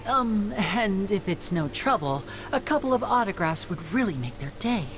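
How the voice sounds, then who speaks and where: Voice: mid-range voice, expressive, moderate pace, background noise, natural voice. A voice actor playing a charming young male stage magician in a video game, speaking politely and persuasively. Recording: game audio with music.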